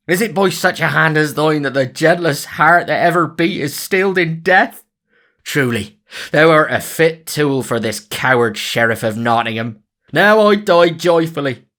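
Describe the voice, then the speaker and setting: A man's voice: a little high, fairly bright tone, voice pushed up. A man voicing a grieving, defiant captive who cries out in anguish.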